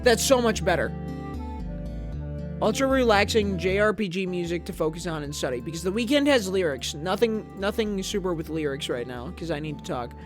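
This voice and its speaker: A woman's voice: on the low side, expressive, fairly quick. A woman streamer chatting casually and reading a manga aloud, jokey, laughing often and interrupting herself. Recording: background music under voice.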